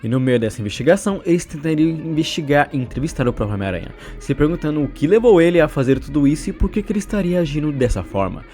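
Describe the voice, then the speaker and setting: A man's voice: on the high side, sing-song, quick pace, few pauses, warm tone, faint music behind. A man with a Brazilian accent narrating a recap of a horror story.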